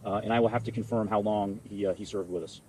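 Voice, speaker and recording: An American man, an army general, giving formal, halting answers with repeated words at a press conference. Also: lowish voice, fairly even intonation, warm tone, a little hiss or hum, rough recording.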